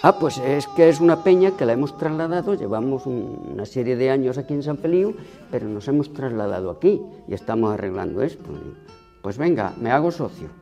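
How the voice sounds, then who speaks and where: An older man from Andalusia talks earnestly in a mid-range voice during an indoor documentary interview. A lapel mic catches him, and clear guitar music runs beneath. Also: medium pitch, animated, brisk, warm tone, dull audio. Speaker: flamenco club member and teacher. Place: room indoors.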